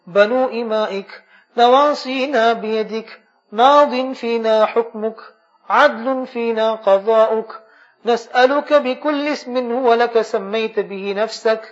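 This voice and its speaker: A man's voice: extremely high pitch, highs cut off. A man leading a congregation in an emotional supplication after night prayers in a mosque, repeating invocations in a pleading voice that moves between chanting and speaking. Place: mosque during a night gathering.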